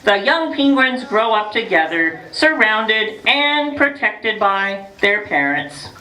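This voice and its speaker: A woman voicing a playful, hungry female penguin who reads a picture book to children, teasing them with questions and dramatic pauses. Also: medium pitch, very animated, sharp tone, full character voice. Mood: storytelling. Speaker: storyteller for children. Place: echoey room with a group of children. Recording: roomy, distant, noisy live room recording.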